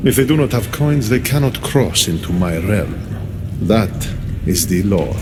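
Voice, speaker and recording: An actor playing a sly, amused god who rules the underworld in a video game, with a smug, theatrical manner. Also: male voice, on the low side, expressive, unhurried, noisy background. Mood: amused, imperious. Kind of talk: video game cutscene acting.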